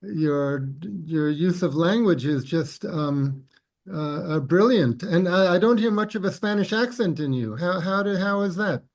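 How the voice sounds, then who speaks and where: Halting man hosting a casual chat. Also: high voice, lively intonation. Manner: halting, repeating words. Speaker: host.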